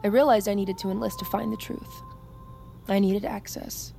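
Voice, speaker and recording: A woman's voice: mid-range voice, steady tone, moderate pace, gravelly, warm tone, natural voice. Woman playing a haunted young army private over music. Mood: guarded, defiant. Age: young adult. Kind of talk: naturalistic audio drama acting.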